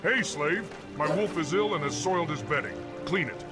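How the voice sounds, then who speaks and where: A man playing a rude, bossy orc bully in a fantasy game, jeering and barking orders in a gravelly voice. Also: on the high side, expressive, moderate pace, loud music behind the voice, lightly acted. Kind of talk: fantasy game cutscene dialogue.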